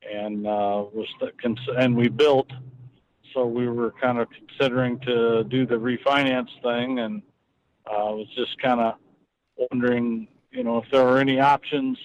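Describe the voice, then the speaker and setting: A man with a medium-pitched voice answers as a client in an unscripted phone conversation. He is measured and hesitant, working out his thoughts as he speaks. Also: level tone, muffled recording, a little hiss or hum. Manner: measured, hesitant, thinking aloud.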